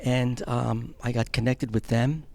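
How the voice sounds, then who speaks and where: A middle-aged man with a New York accent speaks as a guest in a relaxed interview. His voice is gravelly and sits at an average pitch, and he talks plainly, feeling his way through his thoughts. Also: a little slow, no room sound. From Long Island, New York, United States. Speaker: guest, film producer and charity house director. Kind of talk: conversational interview.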